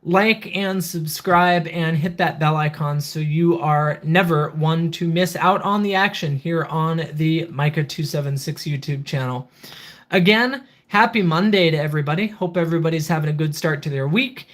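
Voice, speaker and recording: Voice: medium pitch, normal speed. Methodical livestream host reviewing gear. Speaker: video channel host, product reviewer. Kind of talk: casual livestream product review and channel update.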